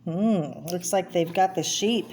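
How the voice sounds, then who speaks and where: Lower-voiced woman reading aloud, noisy recording. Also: slow, darkish tone. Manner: explanatory, pointing out pictures and asking the listener questions. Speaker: read-aloud storyteller. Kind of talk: reading a children's picture book aloud, with side comments. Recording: clear background noise.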